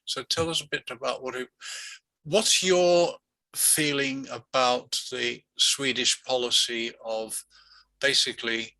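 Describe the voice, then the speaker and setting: A man's voice: medium pitch, steady tone, takes it slowly, sharp tone, dry recording, rough recording. A man hosting an online meeting, walking through statistics and then interviewing a guest, with many fillers and restarts. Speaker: meeting host.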